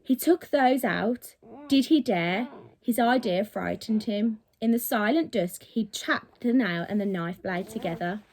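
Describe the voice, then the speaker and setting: A woman's voice: medium pitch, unhurried, a little mellow. A woman reading a children's story expressively, with dramatic, emphatic delivery, like a teacher reading to pupils.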